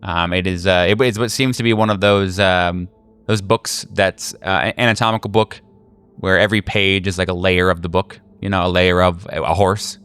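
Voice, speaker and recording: A man running a tabletop horror game as game master, narrating casually in a halting, slangy, jokey way. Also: deep voice, steady tone, fairly bright tone, smooth.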